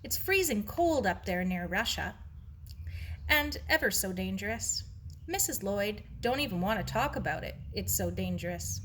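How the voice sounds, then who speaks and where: A bashful young English girl in a storybook , read by a woman. She talks in an earnest, colloquial way, with bright tone and lively pitch. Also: moderate pace, a little hiss or hum, lightly acted.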